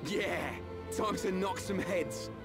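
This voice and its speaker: A hot-headed young game fighter with a high male voice and a British accent. Music sits clearly behind the brash delivery. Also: slow. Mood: fired up. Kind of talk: video game voice acting.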